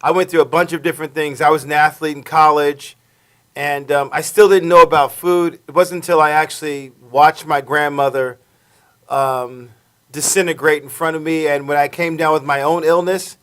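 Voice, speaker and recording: A man's voice: a little high, fairly even intonation, moderate pace, fairly bright tone. A middle-aged man co-hosting a live talk show, upbeat and chatty. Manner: upbeat, chatty, laughs easily. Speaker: co-host.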